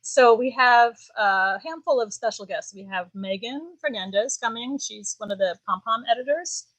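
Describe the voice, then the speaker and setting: Relaxed, chatty woman with a dry, close sound. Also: a little high, normal speed, no echo, poor audio. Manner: relaxed, animated, laughs easily, says "like" and "um". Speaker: shop owner and knitwear designer, guest. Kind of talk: casual conversational interview.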